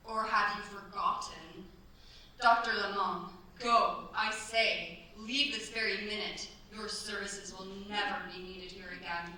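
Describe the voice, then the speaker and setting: A woman's voice: medium pitch, steady tone, unhurried, bright, forceful tone. A woman playing a proud, defiant young mistress of a French chateau in a staged period radio play, speaking theatrically and imperiously. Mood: furious, imperious. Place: cultural centre stage, live performance. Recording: echoey stage, distant mic, faint noise.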